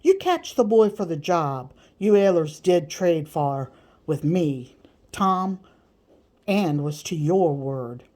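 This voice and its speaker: Woman reading as wheedling, slow-spoken male slave trader. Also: fairly low pitch, big pitch swings, dark tone, exaggerated voice. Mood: alarmed, placating. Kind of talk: audiobook character voice.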